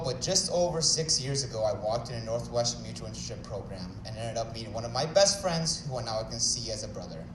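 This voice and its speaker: A man's voice: mid-range voice, noisy background. A young man with a Chicago accent giving a joking, self-deprecating wedding speech, mumbling a little. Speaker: wedding speaker. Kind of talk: wedding toast. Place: wedding reception hall. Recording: echoey hall, from far off.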